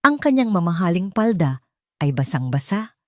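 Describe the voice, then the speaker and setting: A woman's voice: lowish voice, very animated, moderate pace, no room sound, studio quality. A woman with a Filipino accent reading dramatic first-person scripted narration as a storyteller.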